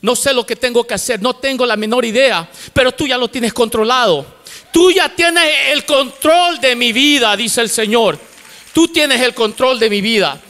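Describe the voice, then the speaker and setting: A middle-aged man preaching with a strikingly high, bright voice into a handheld mic. His words ring out with a long reverb across a large church auditorium. He repeats key phrases with fervor and drops in English words as a native English speaker. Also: moderate pace. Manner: fervent preaching with repetition, mixing in English words. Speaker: pastor. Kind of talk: sermon. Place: large church stage with lights. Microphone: handheld microphone.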